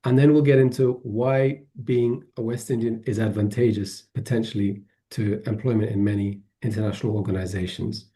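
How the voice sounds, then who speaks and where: A man, presenting an online webinar over a video call, often pausing with fillers and restarting his sentences. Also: lowish voice, fairly even intonation, warm tone. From Poland, grew up in England. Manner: hesitant, many fillers and restarts.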